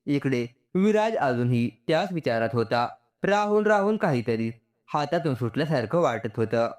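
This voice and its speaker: A man reading a scripted story aloud as a storyteller. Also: mid-range voice.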